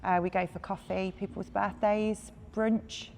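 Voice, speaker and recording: A woman's voice: mid-range voice, flat delivery, unhurried, creaky, a crowd in the background. Easygoing woman in her forties. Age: 40s. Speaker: gym member interviewee. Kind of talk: casual interview chat. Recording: reverberant room, faint noise.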